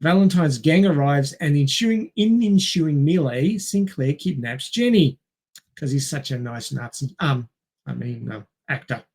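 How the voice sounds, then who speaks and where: Higher-pitched male podcast co-host, wry. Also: lively intonation, normal speed, darkish tone, breathy edge. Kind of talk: reading a film plot summary with wry asides and casual chat.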